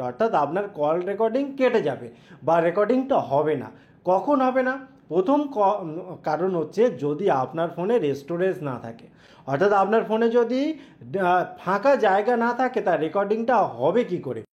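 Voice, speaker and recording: A man's voice: sits high, darkish tone. A man hosts a tech tutorial. He explains each step directly to the viewer and stresses key points. The recording is somewhat dull and lo-fi. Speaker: tech tutorial YouTube host. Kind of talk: step-by-step spoken phone tutorial.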